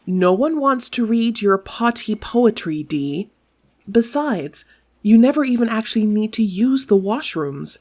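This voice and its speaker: A woman's voice: a little high, big pitch swings, measured pace, a little mellow. A woman playing a sardonic, scolding colleague with a pointed, dry manner in a comic sci-fi audio drama. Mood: exasperated.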